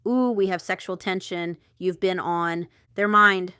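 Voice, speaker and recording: A woman's voice: lowish voice, fairly even intonation, measured pace, pushed and bright, clear, resonant tone, no echo, clean studio sound. A woman giving a casual, intuitive tarot reading straight to the viewer, chatty and thinking aloud with rambling asides. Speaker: tarot reader and channel host.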